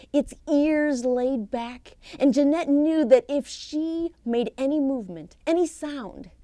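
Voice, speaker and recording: A woman performs a folktale as a practiced oral storyteller. Her voice is soft-toned and a little high, and she takes her time. She leans on key words with dramatic pauses, and the sound is dry and close. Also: no echo. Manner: expressive, theatrical, with deliberate pauses and emphasis. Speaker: professional storyteller. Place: live storytelling session at a conference.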